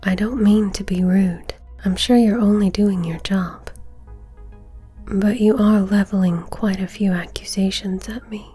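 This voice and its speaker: A woman playing a composed, coolly defiant suspect in an ASMR roleplay, speaking softly and politely in a measured, breathy whisper. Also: medium pitch, fairly even intonation, soft background music. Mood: polite protest. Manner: soft-spoken, polite, measured, subtly taunting.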